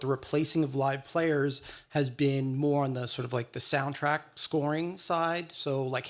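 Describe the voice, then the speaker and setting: A man on a panel who works as a composer, explaining things eagerly. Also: on the high side. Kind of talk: panel discussion.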